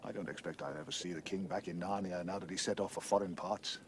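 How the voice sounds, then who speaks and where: A man's voice: low-pitched, fairly even intonation, fast talker, noisy background. A man playing a gloomy, pessimistic marsh creature in an audio drama, speaking lugubriously as if expecting the worst. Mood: dolefully pessimistic. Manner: lugubrious, always predicting the worst.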